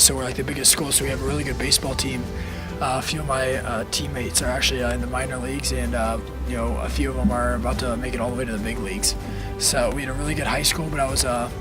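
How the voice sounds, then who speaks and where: A young man from Colorado, a college baseball player, hoarse from a cold, answering interview questions in a rambling, easygoing way with many fillers. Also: mid-range voice. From Colorado, USA. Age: young adult.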